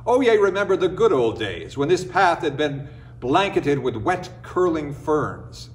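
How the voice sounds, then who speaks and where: Animated high-pitched male storyteller. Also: a little slow, far from the mic, slight background noise. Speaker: storyteller, reader. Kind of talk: expressive scripted folktale narration.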